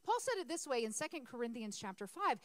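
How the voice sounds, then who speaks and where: A woman's voice: on the high side, big pitch swings, fast, fairly bright tone, dry recording. A woman pastor who is losing her voice, preaching a conversational sermon in a church and calling on the congregation to answer her. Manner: conversational, asks congregation for responses.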